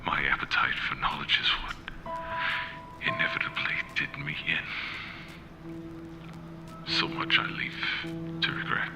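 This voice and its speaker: Doomed male scholar, horror radio play, rock-bottom pitch, slow haunted half-whisper, gravelly, music underneath. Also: little pitch movement, poor audio. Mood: haunted regret. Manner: slow, grave, halting, theatrical. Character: doomed, remorseful male scholar recording a last confession. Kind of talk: horror audio drama monologue. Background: loud music.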